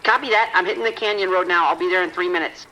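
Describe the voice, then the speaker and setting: Man playing tense, sharp-voiced patrol deputy. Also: high voice, fast talker, rough recording. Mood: alert. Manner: terse radio reports, tense. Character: male patrol deputy, uneasy, bewildered, obedient. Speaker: police deputy. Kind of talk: audio drama acting. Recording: radio calls with rain behind.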